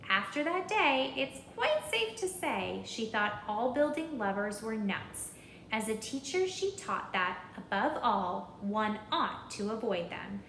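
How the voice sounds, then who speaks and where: A woman with a fairly high, very animated voice reads a children's storybook aloud. She takes her time, deliberate and rhythmic, as if guiding young listeners. The recording is roomy and echoing. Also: a little hiss or hum. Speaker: storybook reader.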